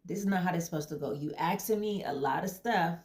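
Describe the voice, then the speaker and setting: A woman voicing a brash, defensive young mother in therapy who talks loud and fast and pushes back on every question. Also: lowish voice, lightly acted. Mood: indignant. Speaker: therapy client. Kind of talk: comedy sketch acting.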